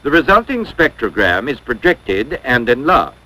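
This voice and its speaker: Lively-pitched 1950s educational film narrator, male. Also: rough recording. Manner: measured, formal, instructional.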